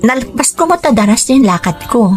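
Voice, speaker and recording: A woman's voice: fairly high pitch, lively intonation, moderate pace, natural voice. A woman playing a devoted young daughter in love, speaking tenderly and earnestly in a radio romance drama. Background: background music.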